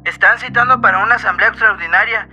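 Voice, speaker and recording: A man's voice: high voice, quick pace, pushed and bright, dull audio, soft background music. A man playing a subservient henchman reporting urgently to his boss in a radio drama. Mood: anxious, deferential. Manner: deferential, urgent.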